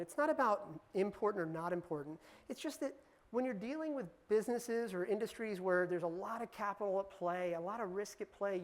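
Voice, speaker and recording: A woman's voice: mid-range voice, a little mellow. Woman, Punjabi first language, casual jokey keynote speaker, mid-range voice. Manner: chatty, teasing the audience, loose with fillers. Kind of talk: conference talk to a live audience.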